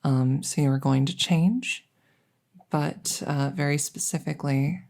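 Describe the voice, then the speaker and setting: A woman playing a kindly, rambling vet in an ASMR audio roleplay, explaining things softly and hesitantly with lots of reassurance. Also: low-pitched, steady tone, a little slow, creaky. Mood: gentle, clinical. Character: kindly, rambling female vet for supernatural patients. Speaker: voice roleplay performer.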